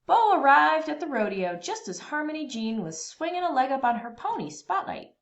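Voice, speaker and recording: A woman reading a children's storybook aloud expressively, with dramatic, deliberate pauses between phrases. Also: sits high, very animated.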